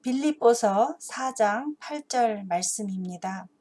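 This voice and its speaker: A woman explaining a children's story and its moral as a teacher, earnest and deliberate, phrase by phrase. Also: fairly high pitch, expressive, a little mellow. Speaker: host and teacher of an English Bible story channel for parents.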